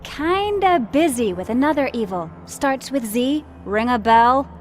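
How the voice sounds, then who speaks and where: A woman's voice: sits high, expressive, measured pace, soft background music. A woman playing a sardonic, sceptical warrior heroine in a comic fantasy game, dry and irreverent with her quips. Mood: wry.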